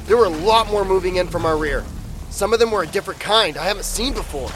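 A man's voice: high voice, quick pace, fairly bright tone, a little hiss or hum. A man playing an anxious, loyal survivor in a zombie audio drama, speaking earnestly.

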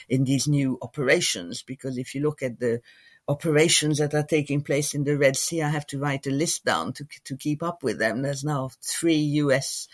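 Male expert guest, mid-pitched, conversational analyst who hedges and works through points as he talks. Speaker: interviewed regional expert.

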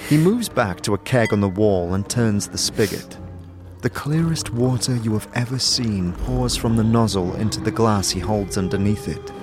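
A man's voice: lowish voice, animated, normal speed. A man narrating a scripted story in the second person. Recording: music behind the voice. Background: music.

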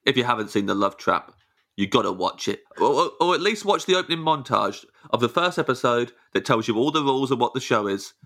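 A man's voice: mid-range voice, expressive, fairly quick, a bit bright. A man co-hosting a podcast in casual comedy chat, with a playful, joking manner. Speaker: podcast co-host.

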